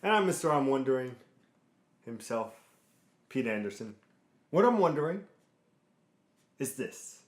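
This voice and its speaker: A man's voice: medium pitch, very animated, a little slow, pauses often, roomy sound. A man hosting a casual gaming podcast, introducing his co-hosts with playful emcee flair. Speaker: podcast host.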